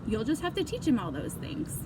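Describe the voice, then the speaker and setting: A woman voicing a patient, reasonable mother from a children's storybook, gently correcting her son. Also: high voice, big pitch swings, brisk. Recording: clear background noise.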